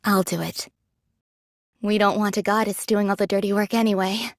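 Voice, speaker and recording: Woman doing young android soldier girl, casual n thoughtful, modest, anime game, mid pitch, dry studio sound. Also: little pitch movement, moderate pace, no room sound, close to an everyday voice. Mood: gentle, earnest. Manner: casual, thoughtful, matter-of-fact. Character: pragmatic, modest young female android soldier, quietly caring.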